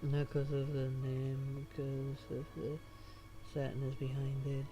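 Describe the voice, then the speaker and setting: A man's voice: medium pitch, monotone, slow pace, dark tone, low-quality recording. A man streaming a game, chatting casually with wry asides. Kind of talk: casual gameplay commentary. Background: faint game music.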